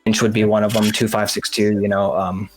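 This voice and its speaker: A man's voice: on the low side, level tone, a little fast, poor audio. A man chatting as a music producer, rambling in an informal way. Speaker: music producer on a talk show. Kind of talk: casual chat.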